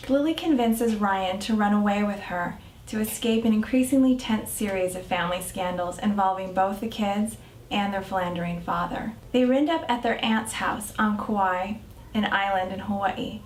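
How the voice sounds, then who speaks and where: Woman speaking in echoey theater, slightly distant. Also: medium pitch. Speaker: screenwriter presenting their script. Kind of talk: prepared spoken pitch of a script. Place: theater stage. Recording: echoey theater, distant mic, faint noise.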